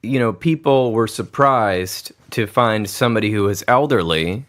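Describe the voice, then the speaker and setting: Low-voiced, reflective male podcast host. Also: normal speed, a bit bright. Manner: thoughtful, reflective, musing aloud. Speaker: podcast host, grandson of the pianist. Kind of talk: conversational interview.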